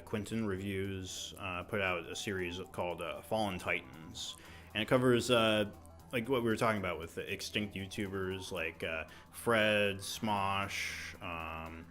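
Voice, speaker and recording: A man's voice: on the low side, normal speed, fairly bright tone, faint music behind. A man co-hosting a podcast in casual chat, hesitant and full of fillers. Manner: lots of fillers and mm-hmms, hesitant. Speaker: podcast co-host.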